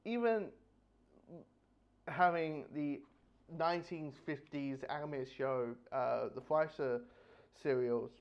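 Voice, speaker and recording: A man giving a casual, unscripted review, rambling and halting with repeated phrases, blunt and casually sweary. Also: a little high, smooth, lo-fi sound. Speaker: book reviewer.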